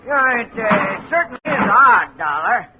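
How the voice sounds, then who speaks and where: An actor playing an evasive, defensive steel-mill boss in a 1950s radio drama. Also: male voice, high-pitched, sing-song, moderate pace. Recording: dull old radio broadcast with hiss.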